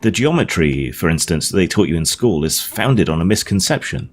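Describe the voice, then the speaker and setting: Earnest Victorian gentleman scientist, played by a male reader: precise, emphatic lecturer, low-pitched, for a storybook with audible background noise. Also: sing-song, a little fast. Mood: earnest, challenging. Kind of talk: audiobook character dialogue. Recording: clearly noisy home recording.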